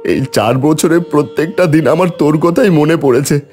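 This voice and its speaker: A man voicing a devoted, grieving village brother in an animated folk tale, earnest, emotional and halting. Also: on the high side, soft background music, pitched above the performer's own voice, close to an everyday voice. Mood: remorseful, determined.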